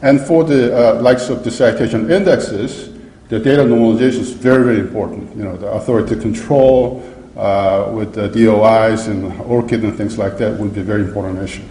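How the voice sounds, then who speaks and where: A man gives a seminar presentation in a mellow, relaxed voice that carries through a roomy hall. He speaks in a halting way, with frequent fillers and restarts as he works through his points. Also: fairly low pitch. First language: English. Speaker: academic conference speaker. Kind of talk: conference presentation. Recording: echoey lecture hall.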